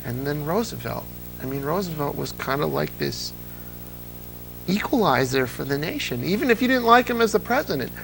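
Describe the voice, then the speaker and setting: Gravelly-voiced man telling eager stories. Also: fairly high pitch, very animated, normal speed, a bit bright, faint music behind, poor audio. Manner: enthusiastic storyteller, restarts often. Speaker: interview host. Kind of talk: casual nostalgic interview chat.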